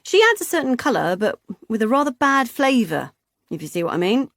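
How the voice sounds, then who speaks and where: A woman reader voicing a hearty, loud middle-aged woman, talking high and breezily in an audiobook. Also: very animated, fairly quick, dry recording, clean studio sound. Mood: booming, jolly.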